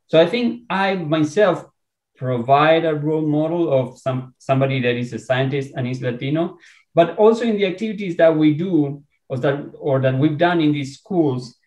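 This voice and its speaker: A man's voice: a little high, darkish tone. Argentine man, roomy echoey sound. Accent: Argentine Spanish. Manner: enthusiastic, halting with many restarts. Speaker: researcher and guest. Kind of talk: podcast interview.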